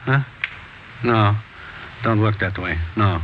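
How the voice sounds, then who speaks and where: An actor playing a pushy, shifty street peddler with an informal, hustling and hedging manner, in 1950s radio drama style. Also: male voice, on the low side, takes it slowly. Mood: hedging, persuasive. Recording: dull, noisy vintage radio recording.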